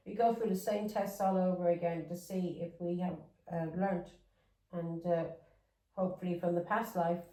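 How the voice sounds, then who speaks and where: Woman, English accent with Irish touches, lowish voice, tarot reading, rambling and repetitive, echoey room, set back from the mic. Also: mellow, far from the mic. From England. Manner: rambling, repeats words, chatty asides. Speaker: tarot reader. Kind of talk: casual tarot reading monologue.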